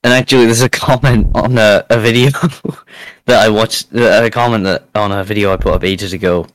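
A man's voice: on the low side, steady tone, moderate pace, dry recording, rough recording. A young man chatting casually as a podcast guest, in a self-deprecating way. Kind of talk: casual chat.

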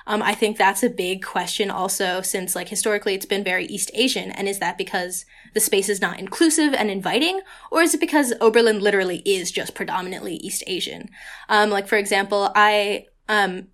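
A woman's voice: mid-range voice, a bit bright. Young female student co-host, loose chatty delivery full of fillers, mid-range voice. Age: young adult. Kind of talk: casual conversation.

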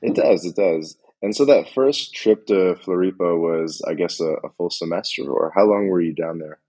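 A man hosting a casual podcast interview, thinking aloud in a measured way as he frames his questions. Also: sits low, darkish tone, husky.

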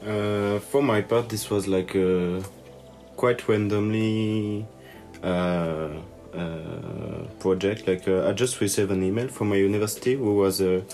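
A young man from France with a French accent, a biology student who speaks haltingly with frequent "uh"s. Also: lowish voice, steady tone, gravelly, darkish tone, soft background music. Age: young adult. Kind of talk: casual self-introduction.

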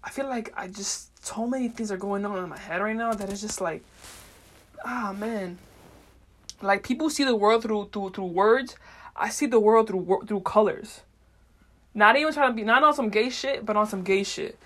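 A woman hosts a solo podcast in a loose, casual way. She speaks in slang and swears freely. Her talk is unfiltered and wanders as she thinks out loud. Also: medium pitch, normal speed. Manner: meandering, thinking aloud. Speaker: podcast host. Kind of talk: casual rambling monologue.

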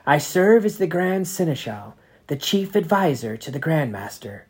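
A parent reading aloud voices a cold, sneering male grand inquisitor with a raised, forceful delivery. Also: mid-range voice, big pitch swings, normal speed, husky, voice pushed up. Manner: clipped, sarcastic, accusing.

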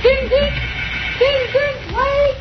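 A woman's voice: very high voice, fairly even intonation, very slow, exaggerated voice. An actress playing a frightened young woman, hesitant and alarmed, in an old-time radio drama. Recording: muffled, noisy old radio recording.

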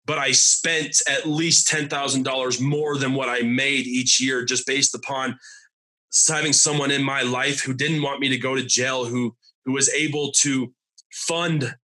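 A man in his thirties from Arizona, telling his recovery testimony as a podcast guest. He talks haltingly and often repeats and restarts his words. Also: mid-range voice, bright, forceful tone, poor audio. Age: 30s.

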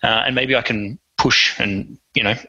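A man's voice: mid-range voice, fairly even intonation, normal speed, bright, forceful tone, husky, low-quality recording. A man as a podcast guest, speaking reflectively and hesitantly with many fillers. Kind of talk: casual interview conversation.

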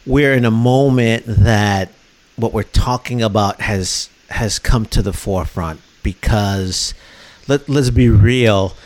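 A man's voice: lowish voice, takes it slowly, darkish tone. A man from Chicago co-hosting a radio interview, enthusiastic and often repeating words as he starts his questions. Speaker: radio co-host.

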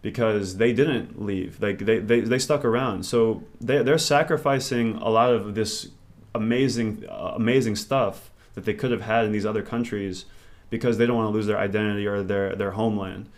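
Guy rambling thoughtfully in an interview, kinda low voice. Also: fairly even intonation, normal speed. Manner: rambling and reflective, thinks aloud. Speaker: interview guest. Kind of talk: casual interview conversation.

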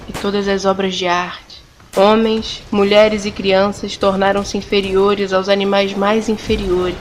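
Brazilian woman reading a story like audio drama, solemn n measured, pauses a lot, loud music behind her. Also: mid-range voice. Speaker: actress narrating an audio drama. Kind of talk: dramatic scripted story narration.

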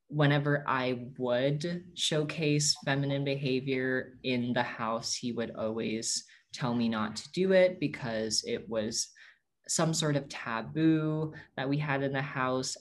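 A man's voice: medium pitch, slight background noise. A young man whose first language is Tagalog, answering interview questions as an activist in an earnest, reflective way and often correcting himself mid-sentence.

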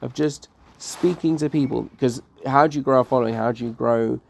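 A man talks loosely in a casual podcast chat. His voice has a gravelly, fry-edged texture, and his sentences start over and trail off as he thinks aloud. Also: mid-range voice, a little fast, darkish tone. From Northwest London. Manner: rambling, many restarts and trailing sentences.

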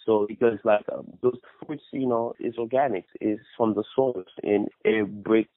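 A man's voice: on the low side, steady tone, moderate pace, no room sound. A man from Haiti with a Haitian accent, giving casual fitness advice as a personal trainer in an interview, repeating phrases and often adding "you know". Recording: tinny phone-like radio line.

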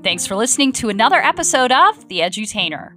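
A woman's voice: a little high, sing-song, fast talker, sharp tone. A woman delivering a scripted podcast outro, promoting the show to listeners. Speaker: podcast host. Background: music.

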